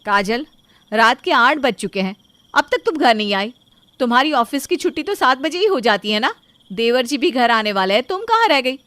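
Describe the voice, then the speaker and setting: A woman's voice: high voice, big pitch swings, moderate pace, fairly bright tone, dry recording, voice pushed up. A woman voicing a motherly, anxious elder sister-in-law in an insistent, doting manner for a story. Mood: worried scolding.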